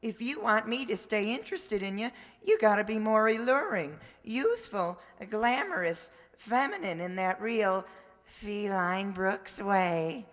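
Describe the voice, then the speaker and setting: A woman's voice: mid-range voice, lively intonation, unhurried, natural voice. A woman playing a brash, cheeky teenage boy in an old-time radio sitcom, with exaggerated delivery. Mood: teasing. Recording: long hall reverb.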